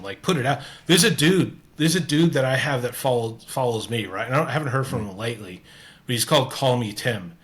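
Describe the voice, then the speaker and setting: A man's voice: medium pitch, fairly bright tone. Earnest rambling Canadian man. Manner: rambling, restarts often, earnest. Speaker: podcast host. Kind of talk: casual interview chat.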